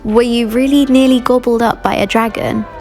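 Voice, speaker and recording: A woman playing a polite, gentle, slightly anxious teenage schoolgirl in a video game. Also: fairly high pitch, steady tone, moderate pace, faint music behind, natural voice. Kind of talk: video game character dialogue.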